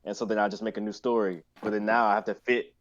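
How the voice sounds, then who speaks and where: A man's voice: fairly low pitch, level tone, quick pace, highs cut off. A man chatting casually on a group live stream, explaining things in a rambling, explanatory way. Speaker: member of a writing and performing group.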